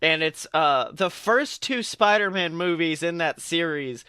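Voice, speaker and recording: Bright-voiced man bantering on a podcast. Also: fairly high pitch, lively intonation, unhurried, clear, resonant tone, no room sound, clean studio sound. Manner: emphatic, opinionated, swears freely. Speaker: podcast co-host. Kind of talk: casual podcast banter about films and comics.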